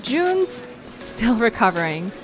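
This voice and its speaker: Woman playing a curious young adventurer, high and animated. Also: slow pace, soft background music. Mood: friendly. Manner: casual, questioning. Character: friendly, curious young adventurer with nervous optimism. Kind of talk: acted audio drama dialogue.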